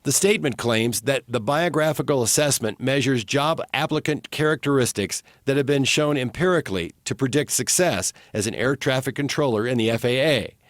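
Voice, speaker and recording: A man's voice: medium pitch, a little fast, few pauses, dry recording. An American man working as an investigative TV reporter, reading a scripted news report narration.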